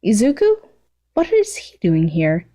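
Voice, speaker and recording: Story reading: reserved, caring teen boy with measured delivery read by a woman. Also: medium pitch, wildly swooping pitch, warm tone. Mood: puzzled. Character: calm, caring teenage boy, devoted and reserved. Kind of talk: fan fiction read aloud.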